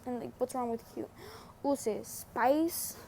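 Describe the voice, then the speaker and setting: A young woman giving casual, slangy, sweary and teasing commentary as a gaming vlogger, with unhurried delivery. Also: a little high, vocal fry, warm tone, a little hiss or hum.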